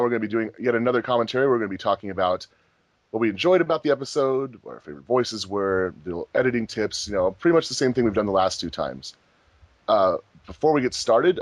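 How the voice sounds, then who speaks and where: A man's voice: fairly low pitch, dry recording. A man hosting a group commentary track in a casual, chatty style. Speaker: host of a fan dub commentary track.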